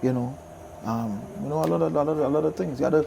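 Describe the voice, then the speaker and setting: A man's voice: fairly high pitch, quick pace, gravelly, mellow, slight background noise, poor audio. A middle-aged man with a Trinidadian accent, a soca artist chatting casually in an interview, telling stories with repeated phrases.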